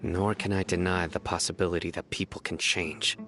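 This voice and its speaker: Man voicing a stern judge, deep voice, grave, resolute, game voice acting, guitar music behind. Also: level tone, a little fast, close to an everyday voice. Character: stern young male desert judge. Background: game music with plucked guitar.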